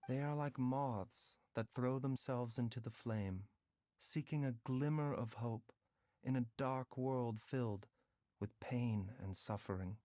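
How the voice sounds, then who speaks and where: A man playing a gentle, philosophical young doctor in a video game, with measured, articulate and reflective delivery. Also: medium pitch, dry recording, studio quality, natural voice. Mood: solemn, reflective. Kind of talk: scripted video game voice acting. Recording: music playing behind the voice.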